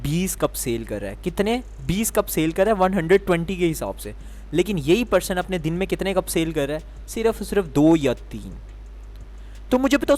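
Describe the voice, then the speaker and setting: A man's voice: on the high side, darkish tone. Man teaching with quiz-style questions. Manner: explains step by step, asking the viewer questions and answering them himself. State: sore throat. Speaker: trading teacher. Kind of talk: explanatory lesson.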